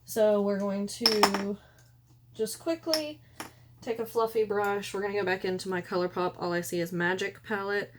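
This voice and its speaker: Hoarse woman chatting through makeup. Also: mid-range voice, fairly even intonation, normal speed, background noise. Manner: chatty, rambling, self-correcting. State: hoarse, losing her voice. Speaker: beauty vlogger. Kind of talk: casual makeup tutorial. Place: room at home by a window.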